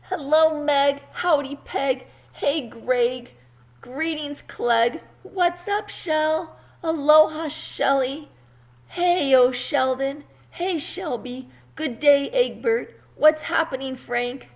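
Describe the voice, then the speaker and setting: A woman's voice: sits high, takes it slowly, voice pushed up. A woman voicing a cheerful egg from a children's storybook, calling out short greetings to friends in a sing-song way.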